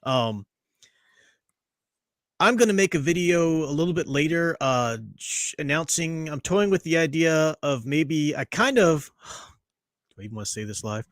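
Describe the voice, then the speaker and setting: A man's voice: a little high, expressive, unhurried, long pauses, dry recording, clean studio sound. Rambling man hosting a live chat. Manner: rambling, self-interrupting, joking. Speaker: podcast host. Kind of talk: casual live stream chat.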